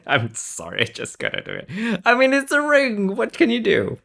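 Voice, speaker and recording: A man's voice: high voice, wildly swooping pitch, measured pace, vocal fry, fairly bright tone. A man who does amateur voice acting, chatting casually and jokingly between takes. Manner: playful, joking. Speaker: amateur voice actor.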